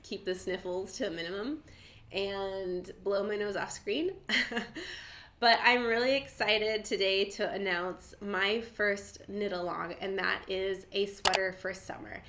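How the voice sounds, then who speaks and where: A woman hosting her own knitting channel, her voice a little nasal and stuffed up from a cold. She talks in an easygoing, chatty way, dropping in "like" as she goes. Her pitch sits in the middle range for a woman. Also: steady tone, measured pace, fairly bright tone. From Southern California. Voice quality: slightly nasal. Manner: friendly and chatty, frequent "like" fillers and light laughs. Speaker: knitting vlogger, host. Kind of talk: casual chatty vlog.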